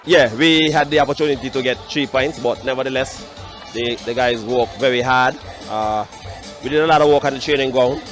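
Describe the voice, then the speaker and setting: Faint music sits behind a man with a medium-pitched voice. He talks as a coach in a post-match interview. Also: fairly bright tone. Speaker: football coach.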